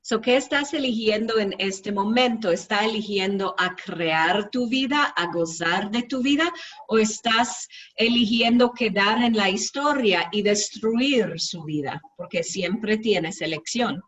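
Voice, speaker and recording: A woman's voice: mid-range voice, moderate pace, fairly bright tone, far from the mic. Woman interpreting, a bit off-mic. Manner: relays the other speakers' points. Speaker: co-host and interpreter. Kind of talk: casual online talk, interpreting.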